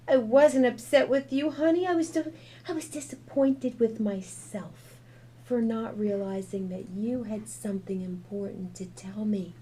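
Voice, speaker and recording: A woman voicing a gentle, loving young mother who speaks softly and tenderly to her child. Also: a little high, voice pushed up, natural voice. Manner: soft, apologetic and tender.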